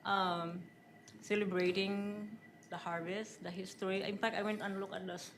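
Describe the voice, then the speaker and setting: Woman from the Philippines, interview candidate, chatty, lots of ums, mid-pitched voice, faint background noise. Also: little pitch movement, moderate pace, fairly bright tone. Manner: chatty, with frequent ums and laughs. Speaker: job candidate. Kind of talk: answering interview questions.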